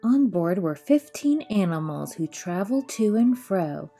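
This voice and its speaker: A woman narrates a children's picture book in rhyming verse. Her voice is mellow and mid-pitched, her delivery expressive and rhythmically measured. Soft music plays underneath. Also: husky. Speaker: storyteller reading aloud.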